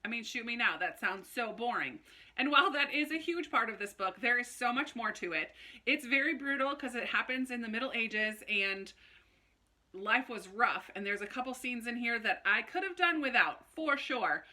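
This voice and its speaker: A woman chatting casually to camera like a book vlogger, in an animated, informal way with little self-interrupting asides. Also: fairly high pitch, moderate pace. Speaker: book review video host. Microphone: phone camera recording.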